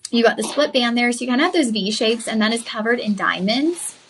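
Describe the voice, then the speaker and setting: Young woman, shopping host, bubbly sales patter, slightly high voice. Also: brisk, a little hiss or hum. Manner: chatty, effusive, addresses viewers as y'all. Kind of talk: live-stream sales pitch.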